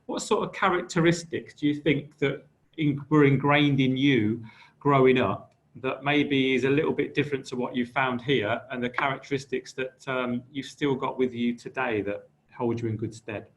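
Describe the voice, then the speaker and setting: A man's voice: medium pitch, normal speed, lo-fi sound. A man moderating an online panel, reading out audience questions in a friendly way. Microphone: video call.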